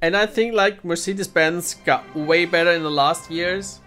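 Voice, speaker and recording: German native man streaming games, casual halting chat, soft music behind. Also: on the high side. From Germany, Bavaria. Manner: hesitant, restarts sentences, chuckles often. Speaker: game streamer.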